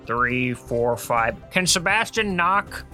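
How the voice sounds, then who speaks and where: Bright-voiced, joking tabletop player. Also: medium pitch, expressive, slow, soft background music. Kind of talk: casual chat, playful role-play narration.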